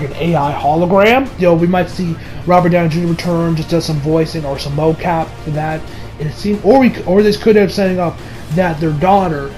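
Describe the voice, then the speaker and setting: A young man talks through a movie review in a casual, slangy way. His voice sits a little high, and he wanders into asides like an excited fan. Music plays behind him. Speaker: video reviewer.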